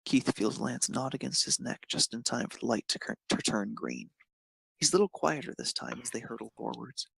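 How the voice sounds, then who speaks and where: A man's voice: mid-range voice, a little fast, gravelly, fairly bright tone, husky, no echo, rough recording. A man narrating a piece of fan fiction, reading it aloud.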